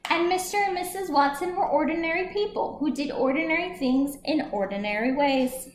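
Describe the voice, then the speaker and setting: Lady doing kids storytime, expressive, high voice, echoey room with some noise. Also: normal speed. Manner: expressive, addresses young listeners with questions. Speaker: library storyteller. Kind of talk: children's storybook read-aloud. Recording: roomy echo, some background noise.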